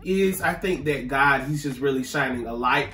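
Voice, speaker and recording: Bright-voiced American man, echoey room. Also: mid-range voice, normal speed, far from the mic. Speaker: reaction video co-host. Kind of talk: casual reaction chat. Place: indoor room with fabric backdrop.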